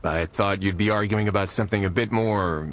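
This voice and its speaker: A low male voice, an actor playing a patient companion in a video game. He sounds dry and mature. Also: a little fast, lightly acted. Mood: dry patience. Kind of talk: video game cutscene acting.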